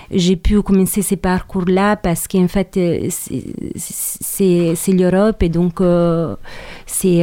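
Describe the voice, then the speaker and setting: A young Italian woman speaks French as an interview guest, with an Italian accent. Her voice is warm, mellow and clear. She hesitates often and repeats words as she searches for them. The recording is close and dry. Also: lowish voice, no room sound. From southern Italy. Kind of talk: radio interview.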